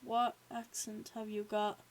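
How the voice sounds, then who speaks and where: A chatty woman with an Irish accent, hosting a live stream and reacting to a match as it plays. Her voice sits at a middle pitch for a woman. She talks loosely, pads her sentences with "um" and "yeah", and stops often to thank viewers. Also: completely flat delivery, measured pace. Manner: chatty, with frequent "um" and "yeah" fillers; reads chat aloud and thanks viewers. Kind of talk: live watch-along chat and match reactions.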